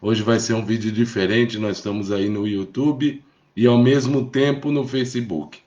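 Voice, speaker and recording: A man's voice: on the low side, fairly even intonation, normal speed, a little mellow. A Brazilian man hosting a live stream in a casual, reassuring way, often checking in with short tag questions. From Brazil, born in Rio de Janeiro. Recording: dull, lo-fi live stream audio.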